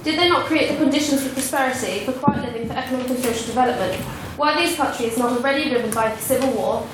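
A woman's voice: sits high, quick pace. A woman closing a debate speech with a string of rhetorical questions, argumentative and formal. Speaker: debate speaker. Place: large echoey debating hall. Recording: echoey hall, distant mic, noisy.

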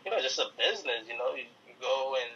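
A man from Harlem in New York City, a guest answering in a relaxed way, thinking aloud with many ums. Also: mid-range voice, quick pace, distant mic, highs cut off, a little hiss or hum. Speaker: guest who works at a college. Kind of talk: casual interview answers.

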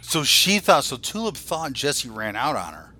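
A man in his forties with a bright, punchy voice at an average pitch. He banters like a casual podcast host, blunt and joking, with plenty of swearing. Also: big pitch swings, moderate pace. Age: 40s.

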